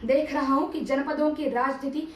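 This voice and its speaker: A woman's voice: high voice, steady tone, moderate pace. A woman performing a theatrical monologue as a stern, patriotic male teacher-statesman of ancient India, solemn and declamatory in formal diction. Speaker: amateur performer reciting a TV serial monologue. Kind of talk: theatrical dramatic monologue, oratory. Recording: roomy, distant mic, faint background noise.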